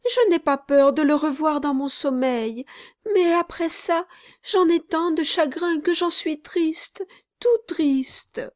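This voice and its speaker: A woman's voice: high-pitched, slow pace, no echo, voice pushed up. A woman reading aloud, voicing a small, fervent boy at a window at night. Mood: desperate. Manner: pleading, desperate. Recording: dry close audiobook recording.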